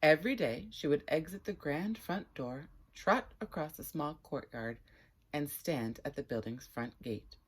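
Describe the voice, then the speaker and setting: A woman with a husky voice reading a children's story aloud and chatting to viewers, with expressive, deliberate phrasing. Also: low voice.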